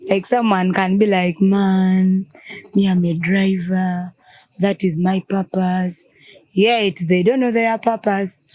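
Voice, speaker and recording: Ugandan woman, mid-pitched, talks through points by asking and answering her own questions. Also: flat delivery, slow, darkish tone. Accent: Ugandan English. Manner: explains with examples, asks and answers own questions. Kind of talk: casual group conversation.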